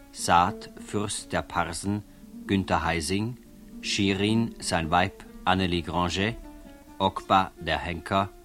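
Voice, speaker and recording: A man reading formal closing credits as a radio announcer, in a measured manner. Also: sits low, a bit bright. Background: faint plucked guitar music.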